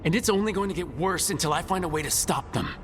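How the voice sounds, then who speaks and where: Earnest hero, echoing space. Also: male voice, a little high, lively intonation, quick pace, fairly bright tone, slight background noise. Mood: troubled, weary. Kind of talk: scripted fantasy game dialogue. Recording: long reverb, music behind.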